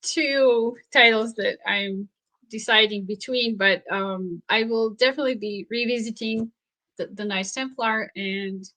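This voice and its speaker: A woman author whose first language is Slovak, answering interview questions thoughtfully, with pauses, restarts and frequent laughs. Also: on the high side, sharp tone, low-quality recording. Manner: thoughtful, halting, laughs often. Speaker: author and researcher guest. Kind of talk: interview conversation.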